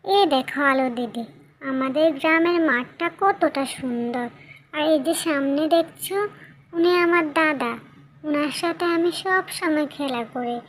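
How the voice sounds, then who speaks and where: Cartoon child role: woman playing a wistful, eager village girl, high little voice, drawn-out pacing. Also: slight background noise. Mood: cheerful, eager.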